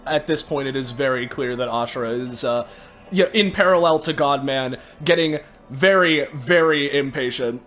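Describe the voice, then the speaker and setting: Male tabletop player, medium pitch, casual game talk over faint background music. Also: normal speed, a bit bright.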